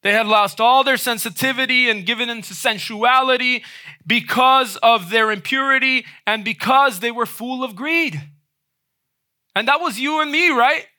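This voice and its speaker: A man preaching a sermon in a conversational way, often checking in with a quick "right?". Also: extremely high pitch, pushed and bright. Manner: conversational, frequent "right?" tag questions.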